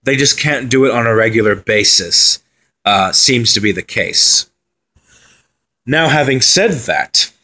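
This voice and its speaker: A man's voice: medium pitch, a bit bright. Casual man previewing a football side. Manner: conversational, with fillers and asides. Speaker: football pundit. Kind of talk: solo commentary on team previews.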